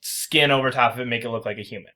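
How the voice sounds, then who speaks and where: A man's voice: mid-range voice, steady tone, rapid-fire, bright, forceful tone. A man hosting a casual podcast, explaining and reviewing video games in an informal, emphatic way. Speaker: podcast host.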